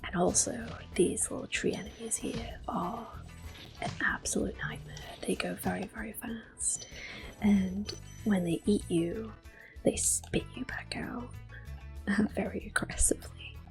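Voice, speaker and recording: A woman whispers her way through a game playthrough in ASMR style. Her delivery is slow and hushed, unhurried as she narrates each step, with faint game music behind her. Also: mid-range voice, steady tone, creaky, poor audio. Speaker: ASMR gameplay host. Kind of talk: soft-spoken ASMR game commentary with whispering.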